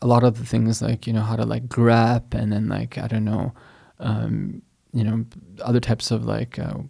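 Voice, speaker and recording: A man's voice: on the low side, level tone, moderate pace, gravelly. A middle-aged man from the United States, a guest scholar talking casually in a podcast interview, hesitant and full of restarts. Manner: soft-spoken, hesitant, many restarts. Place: mosque studio.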